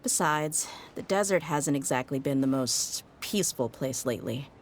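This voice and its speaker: A woman's voice: very deep voice, animated, normal speed, slight background noise. A woman playing a tough, easygoing desert mercenary in an anime game, speaking in a casual, frank, reassuring way. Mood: apologetic.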